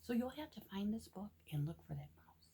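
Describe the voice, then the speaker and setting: A woman reading a picture book aloud to young children with gentle, expressive asides that sometimes drop into a hush. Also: mid-range voice, a little fast, husky. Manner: gentle, expressive, often drops into hushed whispered asides.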